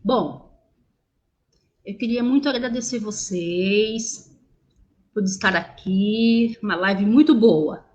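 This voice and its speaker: A woman interpreting sign language into speech over a video call, halting, with many restarts and repeated words. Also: medium pitch. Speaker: sign language interpreter voicing a deaf teacher.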